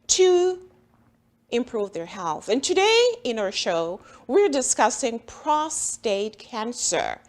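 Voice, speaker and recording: A woman from Jamaica with a Jamaican accent, a physician hosting a health talk show, friendly and upbeat and quick to laugh. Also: a little high. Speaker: host, physician.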